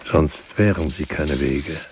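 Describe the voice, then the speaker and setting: Deep slow brooding writer in a radio play, solemn halting phrases, faint noise behind. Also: male voice. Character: grave, brooding writer musing on words and silence. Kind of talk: poetic radio drama monologue.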